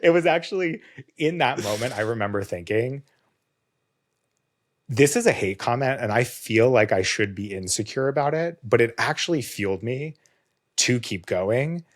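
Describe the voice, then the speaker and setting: A man hosting a podcast in casual conversation, his voice slightly hoarse with a touch of vocal fry, earnest and reflective. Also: mid-range voice, animated, normal speed. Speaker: podcast host.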